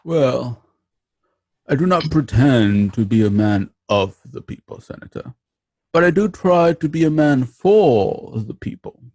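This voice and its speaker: A man playing a measured, dignified Roman senator in a radio play. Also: medium pitch, lively intonation, darkish tone.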